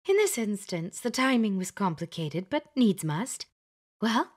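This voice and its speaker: A woman voicing a fussy, chattering older witch who plays matchmaker, bossy and brisk in an audiobook. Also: mid-range voice, sing-song, voice pushed up. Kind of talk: audiobook character voice.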